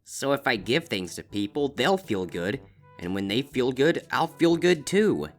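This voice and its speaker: A man voicing a greedy, excitable young male griffin student in a fan fiction story, loud and halting. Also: mid-range voice, faint music behind, pitched above the performer's own voice. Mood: excited realization. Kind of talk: character voice in a fan fiction reading.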